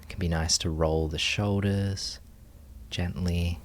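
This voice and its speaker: Male meditation guide, deep register, very slow delivery, gentle and spacious phrasing. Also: fairly even intonation. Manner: gentle, unhurried, pausing between short phrases. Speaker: meditation teacher.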